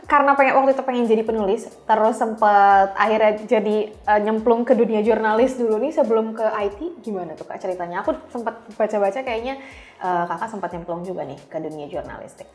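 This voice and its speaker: A woman's voice: medium pitch, fast. A woman hosting a casual interview, informal and reactive, recorded with roomy echo. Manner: reactive, informal, mixes in English words. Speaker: podcast host.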